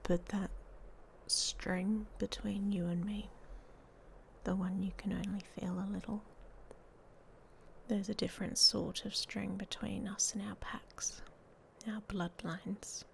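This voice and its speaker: A woman playing a guarded, hot-tempered young werewolf in an ASMR roleplay, speaking in breathy half-whispers with a hesitant, dryly blunt manner. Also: mid-range voice, level tone, takes it slowly. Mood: quiet, confiding. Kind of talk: ASMR roleplay audio story, intimate monologue. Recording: faint background noise.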